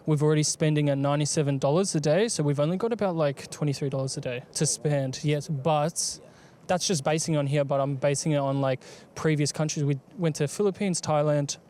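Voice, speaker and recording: A man's voice: a little high, darkish tone, slight background noise. A man from Melbourne, laying out his travel costs methodically in a street interview. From Melbourne, Australia. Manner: methodical, number-focused. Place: city street outdoors.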